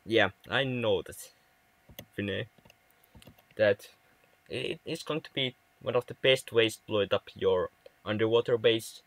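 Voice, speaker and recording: A man's voice: on the low side, unhurried, pauses often, fairly bright tone, no echo. A young man from Finland with a Finnish accent, chatting casually with viewers on a game livestream, often stumbling and restarting words. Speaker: livestream gamer.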